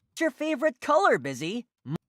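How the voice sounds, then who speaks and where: A woman's voice: on the high side, huge pitch swings, brisk, a bit bright, dry recording, studio quality, full character voice. A woman voicing cheerful, inquisitive puppy characters in an educational preschool cartoon, with an exaggerated sing-song teaching delivery. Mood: bright, inquisitive. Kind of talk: scripted children's educational cartoon dialogue.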